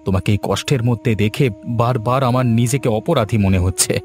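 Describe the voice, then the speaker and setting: A man's voice: mid-range voice, fast talker, darkish tone, faint music behind, close to an everyday voice. A man dubbing an earnest, hot-headed and protective young villager in a cartoon. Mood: concerned, indignant.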